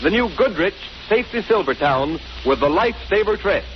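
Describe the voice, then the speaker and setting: High-pitched American 1930s radio announcer. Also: male voice, lively intonation, brisk. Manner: emphatic, polished salesmanship. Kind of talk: 1930s radio advertising read. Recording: muffled, noisy 1930s radio recording.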